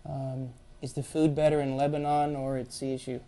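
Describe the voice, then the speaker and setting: A man's voice: mid-range voice, level tone, normal speed, dark tone, slight background noise. A middle-aged man hosting a campus TV interview show, asking questions in a playful, joking way from a studio desk. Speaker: talk show host. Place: small TV studio set, seated at a desk.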